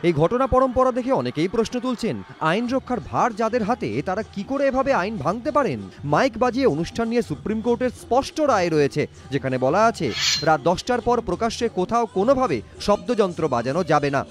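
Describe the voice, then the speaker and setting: A man's voice: sits high, sing-song, fairly quick. A man reading scripted news narration in a dramatic, emphatic way. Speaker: news reporter. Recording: music bed under voice. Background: background music.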